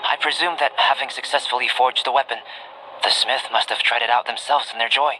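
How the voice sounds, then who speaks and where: An actor playing a calm, curious young male alchemist in a video game, speaking in a measured, detached and articulate scholarly way. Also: medium pitch, lightly acted, rough recording. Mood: calm, explanatory. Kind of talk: scripted video game voice acting. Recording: music behind the voice.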